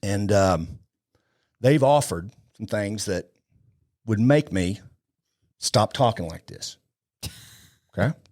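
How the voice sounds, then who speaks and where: A man's voice: lowish voice, lively intonation, slow, long pauses, darkish tone. A man speaking as an opinionated interview guest, emphatic and rhetorical, repeating his points. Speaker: podcast guest, police leadership speaker.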